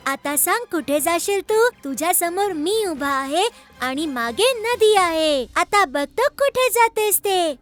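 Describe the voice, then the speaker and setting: A small, hot-headed boy god for a children's cartoon. The voice is extremely high and childlike, with emphatic, declamatory lines. Faint music plays behind it. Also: lively intonation, takes it slowly, a bit bright, exaggerated voice. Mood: angry, taunting. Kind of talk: animated children's story dubbing in Marathi.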